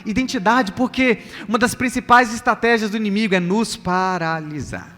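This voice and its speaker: Man, Brazilian accent, pastor preaching, high pitch, emphatic repetition, long echoing hall, live sermon. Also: moderate pace, bright, forceful tone. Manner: emphatic, repetitive, rhetorical questions to listeners. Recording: long hall reverb, music underneath.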